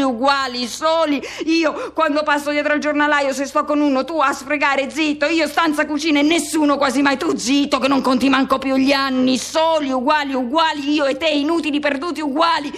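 An embittered man haunted by a first love, in a high voice. The delivery is intense and theatrical, built on obsessive repetition. It is a seething radio drama monologue. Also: fairly even intonation, moderate pace, few pauses. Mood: anguished. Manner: intense, incantatory repetition, swinging from hushed to shouting.

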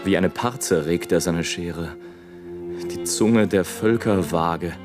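A bitter dreamy balloonist in a radio drama, super slow dramatic declaiming, music behind him. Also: male voice, low voice. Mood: awed. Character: anguished, visionary balloonist narrating war below, bitter and rapturous. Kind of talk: literary radio play monologue.

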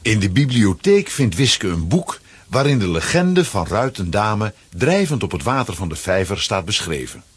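A man with a Flemish accent and a husky voice, narrating a children's adventure as a scripted audio drama. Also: on the low side, big pitch swings, moderate pace, dry recording. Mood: storytelling.

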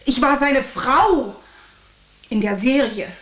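A woman's voice: high-pitched, animated, slow pace, fairly bright tone, full character voice. A woman playing a dramatic, evasive television actress under suspicion in a German radio drama, speaking in an emphatic, theatrical way. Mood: agitated. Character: dramatic, evasive TV actress suspected of blackmail and murder. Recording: noisy ambience behind the voice.

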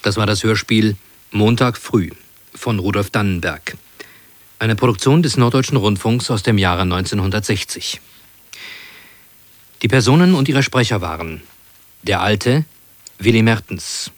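Low, formal male radio announcer. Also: no room sound. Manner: formal, measured phrasing. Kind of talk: scripted presentation. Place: dry radio studio.

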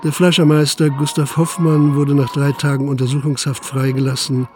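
An actor playing a principled, dogged Prussian police commissioner in a German radio drama, formal and articulate. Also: male voice, mid-range voice, flat delivery, normal speed, darkish tone, faint music behind, close to an everyday voice. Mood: sober, resigned. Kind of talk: German radio drama acting, dialogue and first-person narration.

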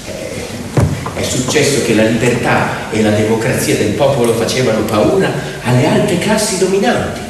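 Echoey, animated man telling stories onstage. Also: mid-range voice, moderate pace, noisy background. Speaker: stage storyteller and actor. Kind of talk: theatrical storytelling performance. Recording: very echoey hall, distant mic, faint music. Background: faint piano music.

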